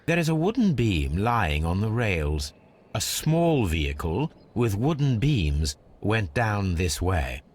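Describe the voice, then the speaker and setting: An actor playing a brilliant, aloof gentleman detective in a video game, with a British accent and a precise, measured, analytical delivery. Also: on the low side, very animated, exaggerated voice. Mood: observing. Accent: British English. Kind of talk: video game character acting.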